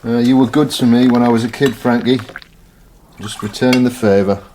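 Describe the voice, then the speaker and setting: Calm, curious middle-aged man, played by an actor; mid pitch, radio play. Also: a little fast, slight background noise, lightly acted, poor audio. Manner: calm, gently questioning. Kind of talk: radio drama acting.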